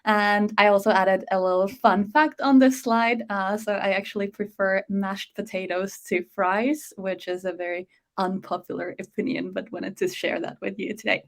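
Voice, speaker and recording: A woman's voice: medium pitch, fairly even intonation, moderate pace, creaky. A woman from Finland, a customer success manager, introducing herself on a webinar in a friendly, lightly humorous way.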